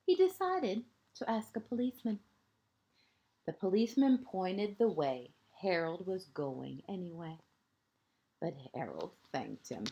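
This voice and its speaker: Slow, mellow woman reading to young children. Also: lowish voice, a little hiss or hum. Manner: gentle, expressive, with hushed phrase endings; deliberate pauses, short phrases, asides to young listeners. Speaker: kindergarten teacher reading a picture book. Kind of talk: read-aloud storytelling for young children.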